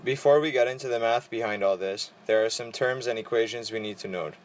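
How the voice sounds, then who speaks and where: A teenage boy reading vocabulary and equations aloud for a school presentation, in a steady, orderly way. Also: medium pitch, brisk, slight background noise. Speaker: student presenter.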